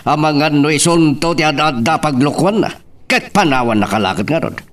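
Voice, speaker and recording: Man acting a concerned father, animated Filipino voice. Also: fairly high pitch, a little fast, distant mic. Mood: concerned, advising. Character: older father calmly advising his married daughter. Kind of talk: Ilocano radio-style drama acting.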